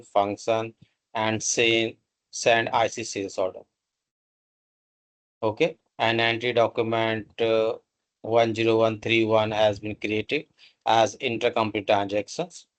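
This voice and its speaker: A man narrating a step-by-step software tutorial in a halting, instructional way, with pauses between short phrases. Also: on the low side.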